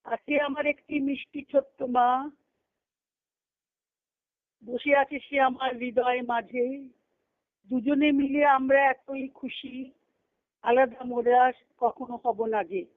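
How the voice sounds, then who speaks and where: Slow, high-pitched woman on phone line. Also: steady tone. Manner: earnest, repeats phrases for emphasis. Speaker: spiritual discourse speaker. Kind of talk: devotional talk to a group. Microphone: phone conference call. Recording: tinny narrowband conference call line.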